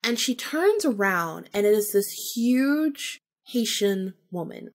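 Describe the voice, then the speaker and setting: A woman's voice: mid-range voice, expressive, slow pace. A woman podcast host telling a personal story casually, informally acting out remembered bits of dialogue.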